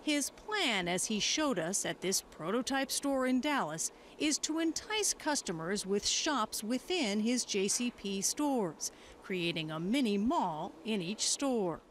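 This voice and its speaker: A woman's voice: mid-range voice, lively intonation, unhurried, slight background noise. An American woman working as a news correspondent, reading scripted broadcast narration and putting questions in interviews.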